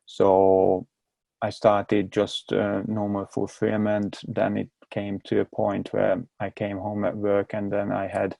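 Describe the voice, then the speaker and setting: A low, gravelly man's voice with a strong Hungarian accent, almost monotone as he explains himself in his second language. He stalls and repeats words mid-sentence, and the mellow, dark tone sits in a dry, close space. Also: no room sound. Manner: halting, repeats words while searching for them. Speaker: guest. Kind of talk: casual interview talk.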